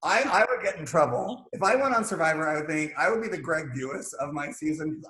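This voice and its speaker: A man co-hosting a casual fan chat show, talking in an animated, jokey, rambling way. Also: on the high side, roomy sound, rough recording. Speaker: podcast co-host.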